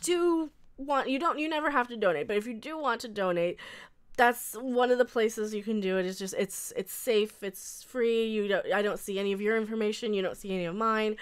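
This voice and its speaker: A young woman hosts a live stream while sick, her voice stuffy and congested. Her tone stays smooth and clear in spite of the cold. She rambles through chat with viewers, laughing often. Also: mid-range voice, brisk. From Colorado. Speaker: livestream host.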